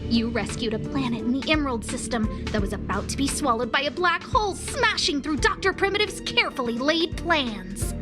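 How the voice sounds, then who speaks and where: A woman voicing a dramatic teller of a heroic space saga in a game, theatrical and formal. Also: high voice.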